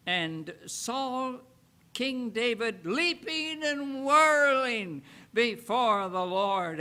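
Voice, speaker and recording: A man with a high, bright and forceful voice reads from the Bible aloud. His delivery is slow and solemn, with emphatic phrasing broken by frequent pauses. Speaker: Bible reader. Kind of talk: solemn scripture reading aloud.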